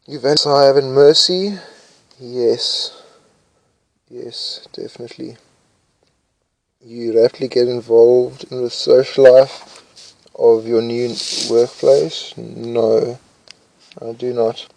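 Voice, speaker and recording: A young man speaks slowly, his low voice gravelly and mellow, answering questions to himself in a flat, mumbling way with pauses to think. The sound is dry and close, with no room around it. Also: no echo, low-quality recording. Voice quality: says his voice is loud. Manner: slow, flat, mumbling delivery, thinking aloud with hesitations. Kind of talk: casual commentary while reading test questions aloud.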